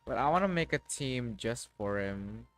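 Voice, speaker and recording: A man's voice: medium pitch, animated, moderate pace, faint music behind. A man livestreaming a game with casual, slangy, offhand commentary.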